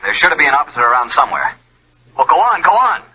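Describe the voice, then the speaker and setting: A commanding American police sergeant speaks through a telephone effect. He is quick, clipped and insistent, in the manner of old radio drama. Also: a little high, very animated, lo-fi sound. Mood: urgent. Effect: telephone filter.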